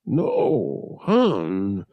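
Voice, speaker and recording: A man's voice: a little high, very animated, slow pace, no echo, dull audio, voice pushed up. A man voicing an anxious starship passenger in an audiobook, speaking with tense urgency. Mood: urgent.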